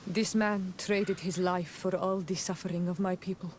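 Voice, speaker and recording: A woman's voice: fairly low pitch, fairly even intonation, moderate pace, slight background noise, close to an everyday voice. A woman playing a proud, fiercely protective young native clanswoman in a video game, blunt and accusing, with solemn diction. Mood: bitter, defiant. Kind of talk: video game cutscene acting.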